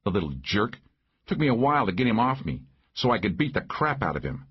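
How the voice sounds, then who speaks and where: A man's voice: lowish voice, sing-song, a little fast, slightly rough, dull audio, voice pushed up. A man voicing a hot-tempered, foul-mouthed, combative female sheriff in an audiobook. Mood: exasperated. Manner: combative, blunt, cursing. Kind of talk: audiobook character voice.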